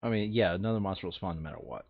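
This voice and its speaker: A man's voice: sits low, rapid-fire, creaky, no echo, narrow, tinny audio. A man chatting casually and informally while playing a board game, muttering rules aloud and joking. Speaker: board game player in a recorded play session. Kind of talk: casual gameplay chat.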